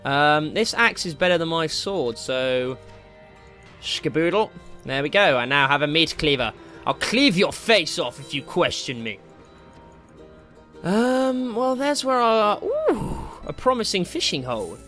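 A man giving casual, excitable and jokey let's play commentary as he hosts a gaming video. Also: fairly high pitch, unhurried. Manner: excitable, jokey, mildly crude exclamations. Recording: game music behind the voice. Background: video game music.

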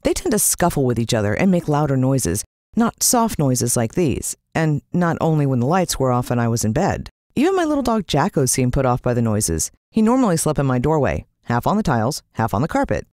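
An American woman narrating a children's story in the first person, expressive and suspenseful. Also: rumbling low, a little fast, no echo, studio quality. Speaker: audiobook narrator.

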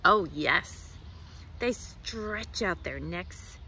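A middle-aged woman reads a picture book aloud to children outdoors, with expressive, deliberate phrasing. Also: fairly low pitch, slight background noise. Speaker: children's librarian, storytime reader. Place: outdoors in a leafy garden.

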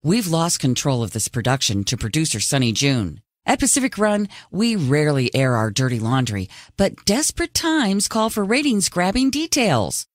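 A woman's voice: low-pitched, sing-song, normal speed, hardly any pauses, no room sound, studio quality. A woman playing a grandiose reality competition announcer in a video game, theatrical and proclamatory.

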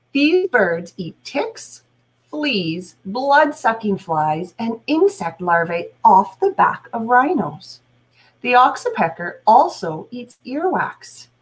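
A middle-aged woman teacher reading aloud to children, deliberate, adding explanations and questions for young listeners. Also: on the high side, a bit bright. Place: small room at home, indoors.

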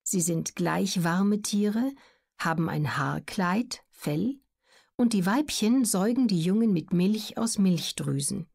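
Measured woman narrating an educational audiobook. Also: mid-range voice, expressive, vocal fry, a little mellow.

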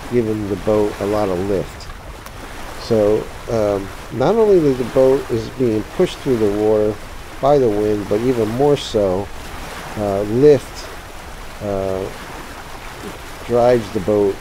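Low-voiced man over loud water noise. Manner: halting, thinking aloud. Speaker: tutorial presenter. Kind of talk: unscripted how-to commentary over a sailing game. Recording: steady sea and water noise behind. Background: sailing game sounds of boat and water.

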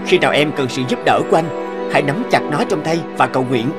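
A man voicing a kindly, protective young month spirit in a cartoon, gentle and reassuring. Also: on the high side, animated, quick pace, lightly acted. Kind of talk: cartoon voice acting. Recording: background music under the voice.

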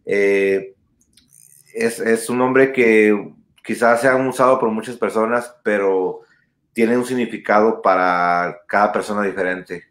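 Earnest low-voiced man in a roomy room. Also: fairly even intonation, a little slow, fairly bright tone. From born in Texas, raised in San Diego and Tijuana. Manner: earnest, hesitant, many fillers and restarts. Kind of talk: casual interview over video call. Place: small room indoors at home. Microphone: webcam mic on video call.

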